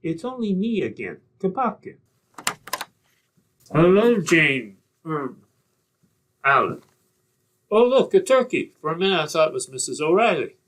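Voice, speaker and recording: A man's voice: on the high side, big pitch swings, measured pace, long pauses, fairly bright tone. A man acting a courtly, self-mocking professor neighbour in an old-time radio comedy, delivering wry wisecracks politely.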